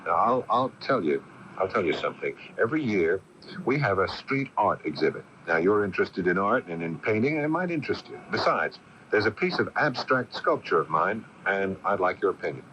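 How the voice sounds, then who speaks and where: An actor playing an earnest, concerned sculptor in a radio mystery drama, reasoning hesitantly with a slight stammer. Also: male voice, sits low, very animated, brisk, a bit bright. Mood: coaxing, hesitant. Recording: muffled, noisy old AM radio broadcast.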